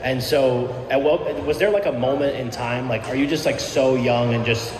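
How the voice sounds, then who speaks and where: A man's voice: medium pitch, moderate pace, crowd noise. Affirming male radio host. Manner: affirming, frequent yeahs, loose questions. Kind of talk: casual interview. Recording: echoey room with noise behind.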